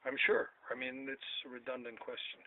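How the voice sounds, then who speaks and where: A man in his seventies rambles through a casual talk, circling back over the same points and pushing his voice up when he questions someone. The sound is thin and phone-like, with a faint hiss under it. His pitch sits in a middle range. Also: level tone, moderate pace. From United States. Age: 70s. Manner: rambling, repeats himself, loud when questioning. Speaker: vlogger.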